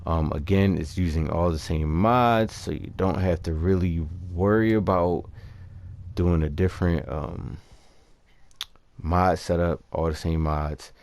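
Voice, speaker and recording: A man giving casual, unscripted gameplay commentary, haltingly thinking aloud as he explains things. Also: sits low, darkish tone, no room sound. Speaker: gamer presenting a character build.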